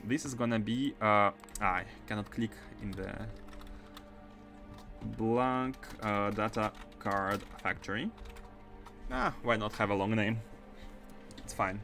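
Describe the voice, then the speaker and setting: Man, Russian-French accent, chatty gameplay commentary, lots of "uh", low pitch, keyboard typing behind. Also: unhurried, soft background music. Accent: mixed Russian and French. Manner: chatty, many fillers, bursts of excitement. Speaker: game streamer.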